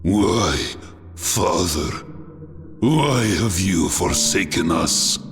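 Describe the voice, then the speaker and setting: An actor playing a grief-stricken, wrathful space marine with a harsh, rattling rasp, speaking slowly and bitterly in a dramatic audio story. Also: male voice, deep voice, animated, exaggerated voice. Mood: anguished. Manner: slow, bitter, archaic formal speech. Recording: long cavernous reverb, music underneath.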